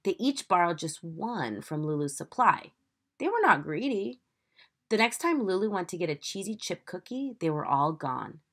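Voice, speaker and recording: A woman teacher reading a children's picture book aloud in an expressive, emphatic, instructive storytelling manner. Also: on the low side, moderate pace.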